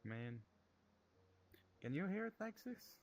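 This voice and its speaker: A man's voice: sits high, big pitch swings, slow pace, faint music behind. An American man with a slight Russian accent, chatting casually on a stream in a social VR game through a headset mic, rambling and restarting his sentences. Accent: Russian-tinged American. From United States. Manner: rambling, easygoing, restarts often.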